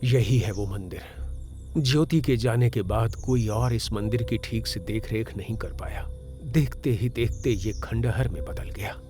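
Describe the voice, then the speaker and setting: A man's voice: fairly low pitch, unhurried, close to an everyday voice. An actor playing a fatherly, earnest elderly village priest who is grieving, in a comic drama. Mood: sorrowful. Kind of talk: audio drama dialogue over motion comic. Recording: music behind. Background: background music.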